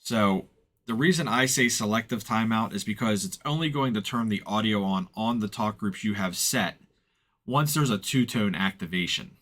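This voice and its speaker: A man narrating an informal software walkthrough in a plain-spoken, explanatory way, hedging as he goes. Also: fairly low pitch, moderate pace. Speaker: tutorial presenter and firefighter.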